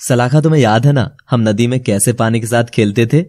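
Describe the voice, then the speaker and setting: A man playing a lovestruck, puzzled young bridegroom, earnest and dramatic, in an animated fairy tale. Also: medium pitch, brisk, noisy background, close to an everyday voice. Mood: wistful.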